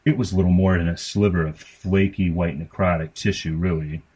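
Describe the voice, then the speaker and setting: Low, husky-voiced man reading a story. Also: fairly even intonation, normal speed, a little mellow. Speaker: story reader. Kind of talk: deadpan scripted narration of grotesque fiction.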